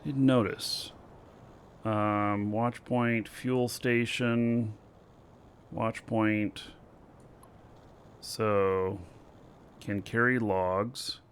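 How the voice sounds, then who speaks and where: A man streaming a video game, talking slowly to himself with many fillers as he works out what to do next. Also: on the low side, fairly even intonation, slight background noise. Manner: slow, thinking aloud with many fillers. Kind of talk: casual gameplay commentary.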